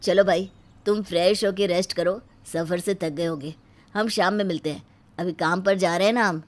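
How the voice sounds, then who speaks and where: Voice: mid-range voice, steady tone, normal speed, lightly acted. A performer voicing a genial, polite, weary middle-aged family man in an audio moral story. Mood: polite, tired.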